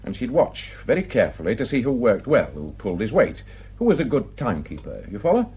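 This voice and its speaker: Husky, animated Northern English gossip. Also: male voice, medium pitch, brisk, heavily acted. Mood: wry indignation. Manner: garrulous, emphatic, colloquial storytelling. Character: gossipy plain-spoken Yorkshire village man, indignant storyteller. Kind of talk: radio drama acting.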